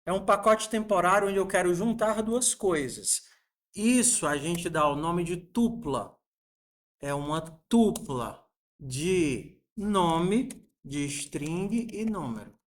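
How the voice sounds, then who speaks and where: A man in his forties with a fairly high voice walks students through code. He explains patiently, asks them questions along the way and sprinkles in friendly diminutives. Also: expressive, takes it slowly. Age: 40s. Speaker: programming teacher. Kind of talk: coding lesson screencast.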